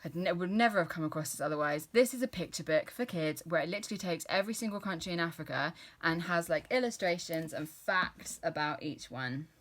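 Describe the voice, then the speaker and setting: A British woman in her early thirties talks through her reading in a loose, rambling way, full of ums and likes. Her voice sits a touch on the low side. She sounds keen and chatty throughout. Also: brisk, few pauses. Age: 30s. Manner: chatty, rambling, enthusiastic with frequent fillers. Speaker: book vlogger. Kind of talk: casual book review chat.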